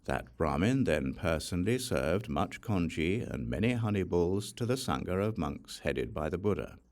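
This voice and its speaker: Very deep, gravelly male audiobook narrator. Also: animated, normal speed, a little mellow. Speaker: audiobook reader. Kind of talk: scripted narration of Buddhist scripture.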